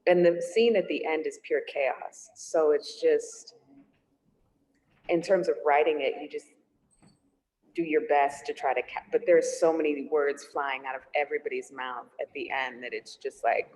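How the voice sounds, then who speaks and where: A woman's voice: deep voice, fairly even intonation, moderate pace, darkish tone. A woman screenwriter talking casually and reflectively in a question-and-answer discussion of her writing. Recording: long hollow room reverb.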